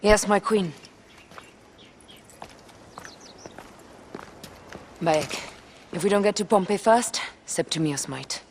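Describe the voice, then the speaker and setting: A woman's voice: medium pitch, expressive, normal speed, slight background noise, lightly acted. An actress playing an earnest, steadfast warrior woman in a queen's service in a cinematic game. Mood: urgent.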